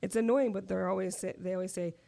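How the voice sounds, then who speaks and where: A woman with a deep voice co-hosts a relaxed podcast. She chats and giggles a lot, sounding worn down from being sick. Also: fast, gravelly. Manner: giggly, chatty. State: worn out from flu. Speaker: podcast co-host. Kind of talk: casual chat.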